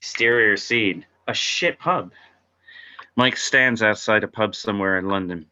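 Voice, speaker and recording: A man's voice: lowish voice, a little slow, pushed and bright. A man narrating scene headings and stage directions in a scripted table read. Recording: dull lo-fi audio.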